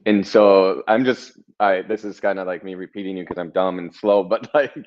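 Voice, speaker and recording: A man's voice: deep voice, fairly even intonation, normal speed, a little mellow, lo-fi sound. A man interviewing in a casual chat, feeling his way through thoughts in a hesitant, rambling, self-deprecating way.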